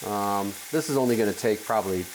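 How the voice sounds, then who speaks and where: A man in his thirties, a chef, calmly explaining a salad recipe in a home kitchen with plenty of ums. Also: fairly low pitch, quick pace, slight background noise, low-quality recording. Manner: relaxed, explanatory, many ums. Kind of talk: cooking demonstration. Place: large home kitchen, indoors.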